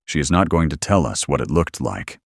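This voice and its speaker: A man's voice: rumbling low, animated, a little fast, creaky. A man co-hosting a horror podcast, giving scripted, incredulous and nervous reactions to the story. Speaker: podcast co-host.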